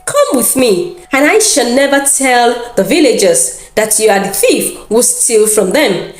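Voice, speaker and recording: Woman as storybook military captain, commanding and sly, measured delivery in a roomy space. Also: a little high, big pitch swings. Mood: smooth, persuasive. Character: brave, cunning male army captain, commanding and smooth-talking.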